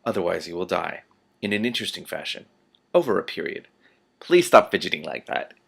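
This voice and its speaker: A man reading aloud as a fat male ruler, measured and formal, amiably threatening. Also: sits low, lively intonation, creaky, breathy edge, heavily acted. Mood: softly threatening. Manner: measured, formal, mock-polite.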